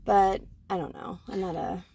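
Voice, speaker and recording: A woman in her forties, co-hosting a podcast, talking through her own thoughts in an animated way and often checking in with "right?". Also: mid-range voice, moderate pace, creaky, slight background noise. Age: 40s. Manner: animated, self-reflective, fond of tag questions like "right?". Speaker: podcast co-host. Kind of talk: casual conversation.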